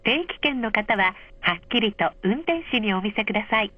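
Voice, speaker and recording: A woman reading a polite, measured scripted announcement over a train's speakers, clearly enunciated. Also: mid-range voice, lively intonation. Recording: dull, muffled train speaker recording.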